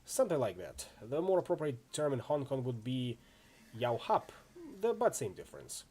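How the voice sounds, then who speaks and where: A man's voice: medium pitch, sing-song, normal speed, dark tone, breathy edge. A man voicing a friendly, easygoing orc swordsman in a video game, chatty and full of laid-back street slang. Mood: chatty, proud. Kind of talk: acted reading of role-playing game dialogue.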